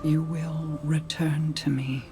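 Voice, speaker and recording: A woman's voice: low voice, little pitch movement, slow, over music. A woman playing a regal, guarded queen and protective sister in a video game, speaking formally and solemnly. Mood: grave, reluctant. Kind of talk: scripted video game drama.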